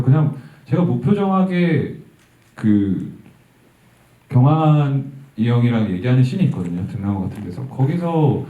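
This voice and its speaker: A male actor answering an audience question, thoughtful and halting, with soft laughs. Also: medium pitch. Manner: reflective, halting, laughs softly. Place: event hall. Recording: tinny, distant sound in a roomy hall.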